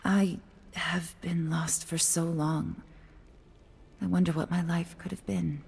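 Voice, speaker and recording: A woman playing a wistful, guarded fox spirit in a fantasy game, speaking softly, breathily and hesitantly. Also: lowish voice, fairly even intonation, slow, vocal fry, slightly rough, heavily acted. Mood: wistful, troubled. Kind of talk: cinematic fantasy game acting.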